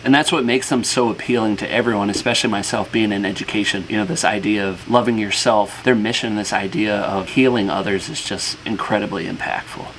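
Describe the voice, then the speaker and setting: A man's voice: fairly low pitch, fairly even intonation, a little fast, hardly any pauses, fairly bright tone, soft background music, rough recording. A man hosting a reaction video who works in education, commenting in a reflective, admiring way.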